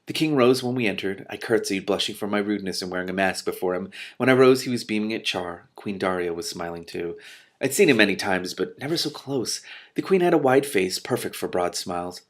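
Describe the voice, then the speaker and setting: A man's voice: on the low side, lively intonation, fairly quick. A man reading a story aloud expressively, dropping in his own dramatic, spontaneous reactions as he goes. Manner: dramatic, reacts in whispers and exclamations. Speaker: host reading a novel aloud with commentary.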